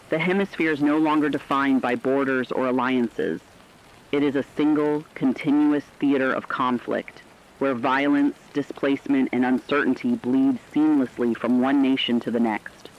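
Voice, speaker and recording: A man playing a somber field reporter in a scripted emergency radio broadcast, formal, deliberate and urgent, with dull lo-fi sound. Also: medium pitch, lightly acted. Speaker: news correspondent.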